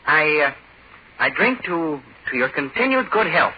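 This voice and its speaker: An actor in a 1940s radio horror play voicing a poisoned man, halting, stammering and threatening. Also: fairly high pitch, sing-song, husky, heavily acted. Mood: smug, halting. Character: poisoned man, choking, gasping and threatening to kill. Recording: muffled, noisy 1940s radio recording.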